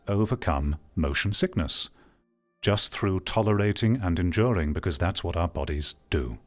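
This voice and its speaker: A man giving a blunt, emphatic opinion monologue as an online host, repeating phrases for stress. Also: low voice. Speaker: host, online commentator and streamer.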